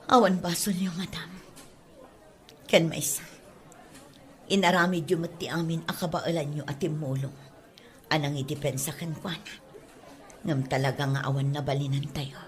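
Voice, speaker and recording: A woman's voice: sits low, fairly even intonation, moderate pace, pauses often, close to an everyday voice. A woman playing a humble, weary, devoted mother in a radio drama, speaking earnestly and plaintively. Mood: sorrowful, weary. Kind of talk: radio drama acting in Ilocano.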